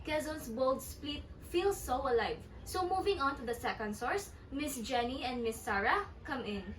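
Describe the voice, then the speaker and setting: A woman's voice: high-pitched, animated, normal speed. A woman with a Filipino accent co-hosting a podcast from a script. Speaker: student podcast co-host. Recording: distant mic, echoey room, faint noise.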